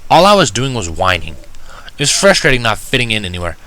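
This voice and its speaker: A man narrating a first-person fan fiction story in an expressive, wry, dramatic manner. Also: on the low side, fast, low-quality recording. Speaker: audiobook narrator.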